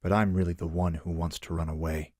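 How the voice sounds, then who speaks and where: A man's voice: low voice, flat delivery, a little fast, a little mellow, close to an everyday voice. A man acting an earnest young exiled prince, burdened and unsure of himself, in a fan radio play. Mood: quiet self-doubt.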